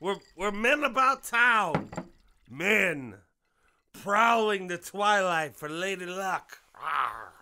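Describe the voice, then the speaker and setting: An actor playing a chummy, prying drunk at a bar, with grandiloquent, rambling, slurred speech in an audio drama. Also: male voice, sits high, expressive, slow, bright, forceful tone, slight background noise, exaggerated voice. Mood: boisterous, needling. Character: tipsy middle-of-the-night barfly, chummy, prying, grandiloquent.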